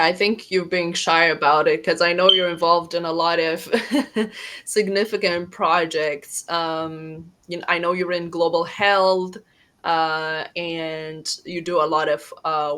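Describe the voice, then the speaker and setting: A woman's voice: lowish voice, steady tone, slow pace, a bit bright. A woman hosting an interview podcast, asking questions in a friendly, chatty way. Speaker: podcast host.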